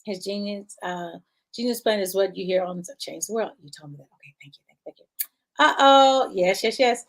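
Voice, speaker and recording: A woman's voice: mid-range voice, a little fast, a bit bright. A woman hosting a casual live-stream chat, bubbly, laughing often and reading viewer comments aloud. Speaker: show host.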